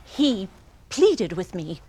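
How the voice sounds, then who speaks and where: An actress on a theater stage playing a bitter, wronged Victorian widow with a pointed, dramatic delivery. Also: female voice, mid-range voice, very animated, slow, warm tone, slightly rough, full character voice. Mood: bitter, incredulous. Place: theater stage before an audience.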